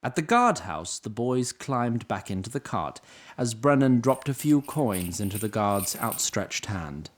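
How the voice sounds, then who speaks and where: A man's voice: lowish voice, sing-song, unhurried. A man narrating a scripted fantasy audio drama in storybook style.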